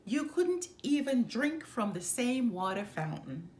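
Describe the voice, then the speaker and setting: A woman reading a children's picture book aloud as a storyteller, expressively and with measured, emphatic phrasing. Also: fairly high pitch, very animated, darkish tone, a little hiss or hum.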